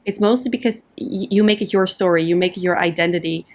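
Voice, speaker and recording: A woman's voice: on the low side, quick pace. A woman from the Netherlands speaks as a coaching guest on a podcast. She explains ideas patiently and casually. She slips into short acted-out inner voices to make her examples vivid. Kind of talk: casual interview conversation.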